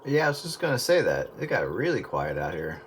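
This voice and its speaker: A man's voice: lowish voice, wildly swooping pitch, a little fast. A man, a radio hobbyist, chatting casually with others over a two-way radio. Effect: two-way radio transmission. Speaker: radio hobbyist who records the sessions. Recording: CB radio signal with faint static.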